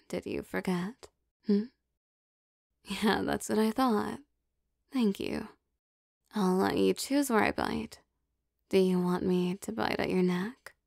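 A woman playing an affectionate, possessive vampire girlfriend in an intimate ASMR roleplay, speaking in a soft, teasing, coaxing manner. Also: medium pitch, gravelly, no room sound, studio quality. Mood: coaxing, tender. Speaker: voice actor.

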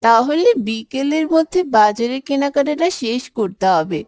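A woman's voice: high voice, expressive, unhurried, a little mellow, no room sound. An eager schoolboy from a children's moral cartoon, voiced by a woman, speaks. The recording is dry and close, with no room around the words. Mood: eager, friendly. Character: eager schoolboy organising a picnic with friends.

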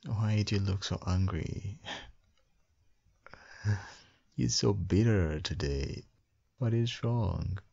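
Man, gravelly fry, husky, unhurried, tender intimate ASMR roleplay, doting husband. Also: low-pitched, dull audio. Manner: tender, doting, slow, murmured with soft hums. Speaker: ASMR roleplay performer playing a loving husband and father. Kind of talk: boyfriend ASMR roleplay, soft intimate acting.

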